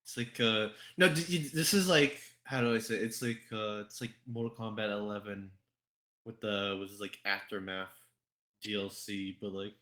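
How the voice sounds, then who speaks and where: A man with a fairly low voice hosts a casual gaming podcast. He rambles, circles back on his words and swears freely. The recording has a clearly roomy echo. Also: steady tone, moderate pace, sharp tone. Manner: rambling, repetitive, heavy swearing and filler words. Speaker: podcast host. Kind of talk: casual group podcast chat about games and fandubbing.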